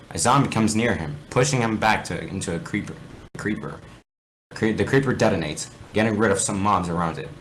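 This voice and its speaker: A man's voice: low voice, a bit bright, a little hiss or hum, poor audio. A man reading fan fiction aloud in an amateur dramatic style, often stumbling and correcting himself. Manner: theatrical, stumbles over words and corrects himself.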